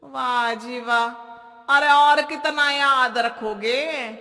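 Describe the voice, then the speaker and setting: A narrator playing a sharp-tongued, suspicious housewife in a cartoon comedy, exclaiming and scolding. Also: high voice, fairly even intonation, drawn-out words, big-room sound, heavily acted. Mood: annoyed. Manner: exclamatory, nagging. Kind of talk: Hindi cartoon comedy character voice.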